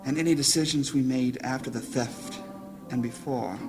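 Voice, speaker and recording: An actor playing a grave, anxious leader of a wizard council in a fantasy audio drama, speaking formally and deliberately. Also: male voice, medium pitch, fairly even intonation, over music, close to an everyday voice. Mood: grave, troubled. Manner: formal, solemn, deliberate speech.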